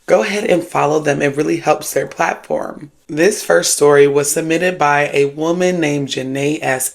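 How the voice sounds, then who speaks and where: A host with a creaky voice and a sassy, slangy, emphatic way of talking, giving casual spiritualist commentary and storytelling. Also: medium pitch. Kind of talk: casual commentary with read-aloud paranormal stories.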